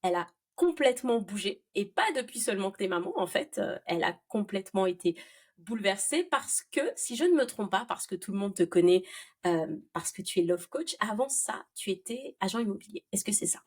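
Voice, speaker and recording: A woman's voice: medium pitch, animated, fairly quick, slightly rough. Woman podcast host, curious and friendly interviewer. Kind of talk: interview questions.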